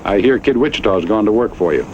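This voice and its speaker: Actor as stern old cattle baron, lowish voice. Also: male voice, lively intonation, darkish tone, lightly acted. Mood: grave accusation. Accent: American. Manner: grave, deliberate, plain-spoken. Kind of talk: Western radio drama acting. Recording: muffled old radio recording, faint noise.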